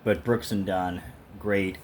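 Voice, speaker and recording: A man's voice: deep voice, fairly even intonation, slow pace, noisy background. A man talking casually and emphatically to the camera as an opinionated music fan, in a rambling vlog style. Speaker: vlogger showing a thrift store music haul.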